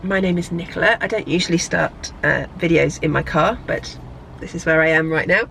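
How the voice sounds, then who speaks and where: A woman's voice: lowish voice, brisk, vocal fry, a bit bright, a little hiss or hum, poor audio. A woman chatting casually to camera in a rambling way, a bit bunged up from a cold. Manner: rambling, chatty, self-correcting. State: congested from a cold. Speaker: lifestyle vlogger. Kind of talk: casual vlog chat. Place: parked car, then a hotel room. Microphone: camera on a tripod.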